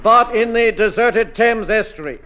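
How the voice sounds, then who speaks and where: An actor playing a grandiose, declamatory American news correspondent reporting dramatically in a radio comedy. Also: male voice, high-pitched, moderate pace, a loud crowd, very noisy, lightly acted. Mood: grandiose excitement. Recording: dull, band-limited radio sound, music.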